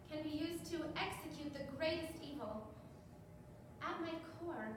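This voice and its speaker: A woman's voice: sits high, close to an everyday voice. A woman playing a banned novel personified as an earnest witness in a stage courtroom drama, defending herself emphatically and articulately. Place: live stage performance in a roomy venue. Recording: echoey hall, distant mic, noisy room.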